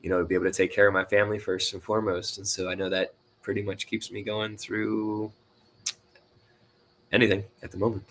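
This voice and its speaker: A man in his thirties or forties with a fairly low voice answers as a guest in a casual conversation. He speaks plainly and in a measured way. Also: little pitch movement, fairly bright tone, a little hiss or hum. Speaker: interview guest, writer and sound designer. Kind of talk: casual chat.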